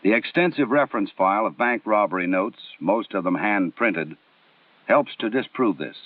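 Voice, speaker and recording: An American man delivering formal, scripted training film narration in a measured, instructional way with precise enunciation, on a 1960s film soundtrack. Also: fairly low pitch, lively intonation, distant mic. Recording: muffled narrow-band 1960s film soundtrack.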